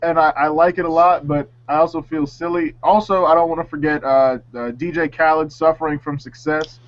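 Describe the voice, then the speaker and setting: Guy with a slightly high voice, hesitant and self-mocking, chatting on a panel, bit lo-fi. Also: fairly even intonation, fairly quick. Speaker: panelist on a music talk show. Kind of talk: casual chat. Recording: dull lo-fi sound.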